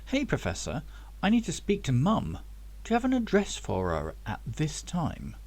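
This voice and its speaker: Male reader as sincere grown-up son in sci-fi audiobook, lightish pitch, animated. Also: moderate pace, full character voice. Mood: brisk. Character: earnest adult son, emotional time traveller.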